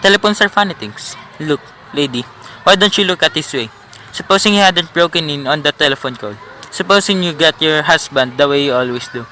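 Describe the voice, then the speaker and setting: A man's voice: a little high, brisk, sharp tone, slight background noise, rough recording. A young man playing an unimpressed, patronizing police desk officer in a suspense radio drama, placating and dismissive.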